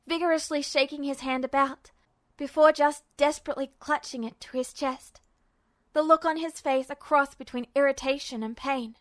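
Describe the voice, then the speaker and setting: A woman reading fan fiction aloud as scripted narration, with dramatic, expressive storytelling. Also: high voice. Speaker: amateur audiobook narrator.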